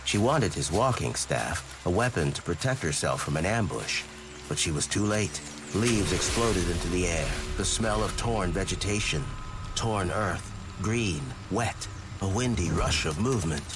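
A deep-voiced suspenseful narrator of a horror adventure. He tells the tale in dramatic scripted audio drama style, with loud sound effects and ambience surging behind him. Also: lively intonation, a little slow, background noise. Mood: tense, suspenseful.

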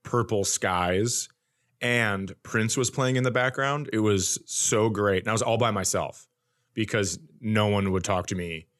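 Man, lowish voice, casual podcast banter, wry, halting conversational storytelling. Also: a bit bright. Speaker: comedian, podcast co-host. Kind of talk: casual comedic chat and anecdotes.

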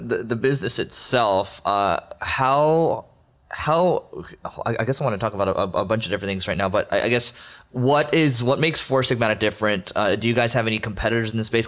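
A man hosts an interview with a somewhat low voice. He sounds upbeat and casual, tripping over his words as he sets up his questions. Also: a bit bright. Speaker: podcast host.

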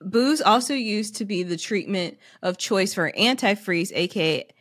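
A woman's voice: medium pitch, unhurried, a bit bright, no room sound. A woman with a mid-range voice talks as a podcast co-host in a dry, close recording. She moves between casual chat and reading internet posts aloud in a conversational way.

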